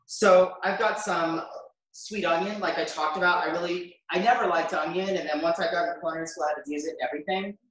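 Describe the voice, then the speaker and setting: A man's voice: high-pitched, steady tone, fast talker, a bit bright. An American man in his fifties presents a cooking demonstration in an informal, chatty way. He thinks aloud as he works. His voice is picked up from a distance in a very reverberant kitchen. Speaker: chef hosting a cooking demonstration. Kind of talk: casual live cooking demonstration. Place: home kitchen, indoors. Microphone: camera a few metres away. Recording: very echoey kitchen, distant microphone.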